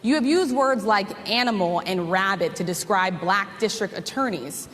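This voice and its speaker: A woman's voice: mid-range voice, normal speed, a bit bright. American woman asking pointed formal interview questions, big echoey room, bit of noise. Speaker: journalist, interviewer. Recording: echoey hall, background noise.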